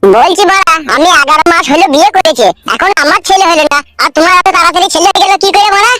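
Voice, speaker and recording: A woman's voice: very high-pitched, fast talker, bright, forceful tone. A woman playing a cheeky, argumentative young village man in a comedy cartoon, brash and crude, with music behind. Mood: grumbling.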